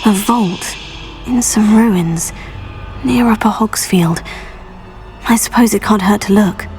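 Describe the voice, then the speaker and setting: A woman's voice: mid-range voice, slow pace, a little mellow, faint music behind, close to an everyday voice. A woman playing an earnest, curious teenage student witch in a video game, polite and composed. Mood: earnest, questioning. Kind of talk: video game character acting.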